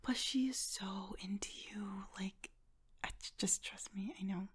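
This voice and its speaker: A young woman playing an affectionate, playful friend in an ASMR roleplay, speaking softly and breathily, often in a whisper, with gentle reassurance. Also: medium pitch, fairly even intonation, husky. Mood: warm, affectionate. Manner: gentle, reassuring, giggly, halting.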